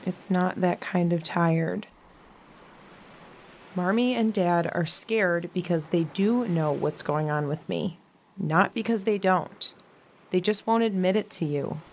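Lowish, weary young American sister, played by a woman. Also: takes it slowly, creaky, slight background noise. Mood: resigned, earnest. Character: weary, frail young younger sister, gentle but frank. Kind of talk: modern audio drama acting.

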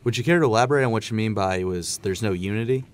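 Low-voiced, very quick-talking man interviewing. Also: smooth. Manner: informal, chummy. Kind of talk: casual conversational interview.

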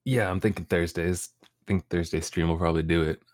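A man's voice: low-pitched, fairly even intonation, a little fast, a little mellow, no echo, clean studio sound. A young man, a streamer guest on a podcast, talking casually with easy laughs and rambling restarts. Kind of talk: casual interview chat.

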